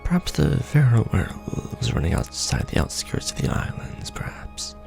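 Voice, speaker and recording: A man playing a courtly, ancient vampire lord in an ASMR roleplay, speaking intimately and formally in a gravelly, often breathy half-whisper with measured pauses. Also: mid-range voice, wildly swooping pitch, full character voice. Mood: dry, indignant. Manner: formal, archaic wording, measured delivery with pauses. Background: music.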